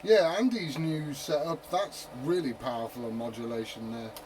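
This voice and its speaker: A man's voice: mid-range voice, fairly even intonation, normal speed. A man chatting casually and swearing freely over CB radio in slangy talk. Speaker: amateur CB radio operator. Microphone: CB radio set. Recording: noisy CB radio transmission, heavy static.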